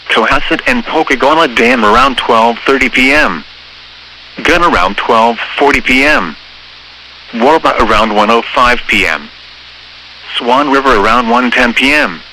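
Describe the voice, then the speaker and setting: A synthesized male voice reading scripted emergency weather warnings in a formal, flat manner as a radio announcer. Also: medium pitch. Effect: synthesized text-to-speech voice. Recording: noisy weather radio broadcast.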